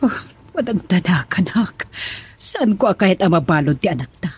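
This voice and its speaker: A woman's voice: fairly low pitch, animated, normal speed, darkish tone, slightly rough. A woman playing a worried mother fretting over her child in a radio drama.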